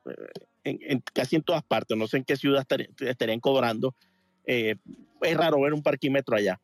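Un hombre de Venezuela que llama a un programa en directo y explica algo con muchas muletillas y frases que vuelve a empezar. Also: male voice, medium pitch, a little fast, no room sound, studio quality. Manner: rambling, many fillers and restarts. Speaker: caller. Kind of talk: casual call-in chat. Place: home in Miami Beach.

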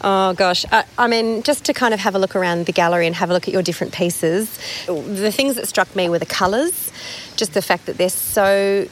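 A woman hosting a casual interview, chatty and quick to laugh. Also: mid-range voice, a little hiss or hum. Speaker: host.

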